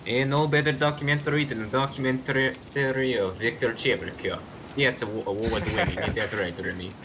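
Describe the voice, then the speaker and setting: A man's voice: medium pitch, a little fast, close to an everyday voice. Man acting boastful survivor killer, brash, third-person bragging, game roleplay, noticeable rain noise. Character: boastful Russian hitman survivor who speaks of himself in third person. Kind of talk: improvised video game roleplay. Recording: clear background noise, steady rain ambience.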